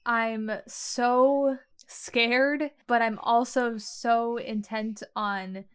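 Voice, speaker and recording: A woman's voice: fairly high pitch, fairly even intonation, very slow, clean tone. A woman playing a tabletop role-playing game, describing her character's actions in the first person in conversational, piecemeal phrases.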